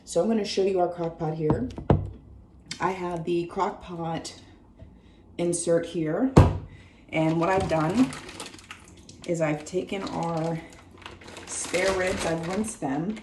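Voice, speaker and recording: Hoarse woman hosting a home cooking show. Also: fairly low pitch, steady tone, takes it slowly, pauses often, roomy sound, heavy background noise. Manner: friendly, chatty, talks viewers through each step. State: hoarse, losing her voice. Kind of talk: casual cooking demonstration. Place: home kitchen.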